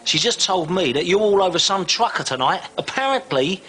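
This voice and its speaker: An actor playing a sneering, bitter, class-conscious husband in a screen drama, speaking with heavy sarcasm. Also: male voice, high voice, expressive, a little fast, pushed and bright, faint music behind.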